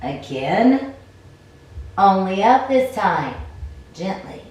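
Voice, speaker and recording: A woman's voice: mid-range voice, big pitch swings, very slow, a little mellow, voice pushed up. A woman voicing an urgent, caring, adventurous heroine in a story game, exclamatory and coaxing. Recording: roomy, distant mic, faint noise.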